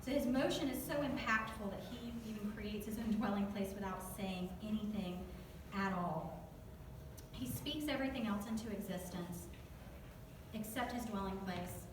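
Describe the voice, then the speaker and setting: A woman's voice: medium pitch, a little slow. Southern lady giving a casual church talk, asks the room stuff, noisy far-off recording. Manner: chatty, joking, asks the audience questions. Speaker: speaker giving a devotional talk. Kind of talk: informal devotional talk. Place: church hall, echoey. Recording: echoey hall recorded from afar, noisy.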